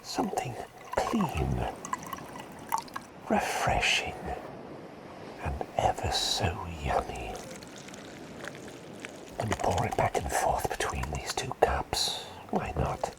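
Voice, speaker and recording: A man playing a gentle, forgetful, whimsical plague doctor in a soft ASMR roleplay, speaking in a breathy whisper with polite, hesitant rambling. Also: low-pitched, wildly swooping pitch, takes it slowly, pauses often, exaggerated voice. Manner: rambling, polite, hesitant, repeats phrases softly.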